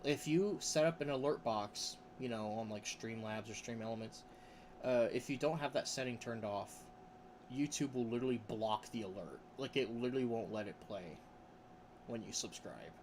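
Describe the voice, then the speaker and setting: A man's voice: normal speed, a little mellow. Rambling male streamer, faint background hiss. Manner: rambling, with restarts and frequent laughs; says his voice range is pretty high. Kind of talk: casual livestream chat, reading chat aloud.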